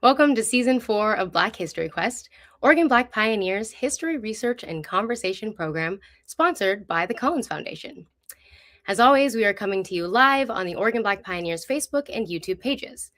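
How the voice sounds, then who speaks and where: A woman's voice: mid-range voice, fairly bright tone. A woman speaking as host of a live online show, presenting and interviewing in an upbeat, enthusiastic way.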